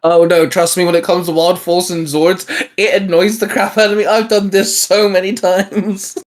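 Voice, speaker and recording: A man's voice: high-pitched, level tone, normal speed. A man co-hosting in a casual, geeky chat, talking in an enthusiastic, informal way. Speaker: co-host of a hobby video channel.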